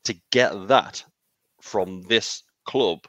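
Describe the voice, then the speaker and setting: A man's voice: on the low side, extremely slow, fairly bright tone, no room sound. A man hosting a football podcast in a rambling, casual way, laughing easily. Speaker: podcast host. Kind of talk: casual chat.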